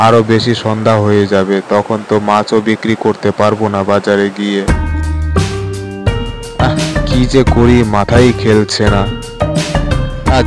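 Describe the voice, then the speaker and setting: A man's voice: on the low side, close to an everyday voice. A man playing a poor, weary village fisherman in a cartoon story, sighing and plaintive. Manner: plaintive, sighing, muttering to himself. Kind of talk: amateur cartoon story dialogue. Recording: loud background music under voice. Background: music.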